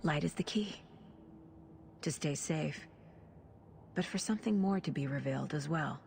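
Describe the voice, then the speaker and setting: A woman's voice: low voice, normal speed, creaky, darkish tone, slight background noise. A woman playing a focused, intuitive federal agent in a video game, speaking in terse, pensive phrases. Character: focused, intuitive female FBI agent investigating supernatural murders. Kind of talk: video game acting, murmured detective monologue.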